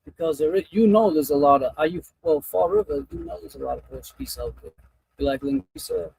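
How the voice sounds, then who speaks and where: A man hosting a casual livestream, talking in a rambling, halting way and often breaking off mid-thought. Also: a little high, huge pitch swings, gravelly, a little mellow, slightly rough, poor audio. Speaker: livestream host.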